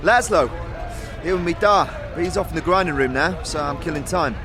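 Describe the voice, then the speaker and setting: Man as a high-voiced friendly teenage apprentice smith. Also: a little fast, music in the background, lightly acted. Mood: friendly, chatty. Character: friendly teenage boy smith, easygoing, encouraging, street slang. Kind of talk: audio drama character acting.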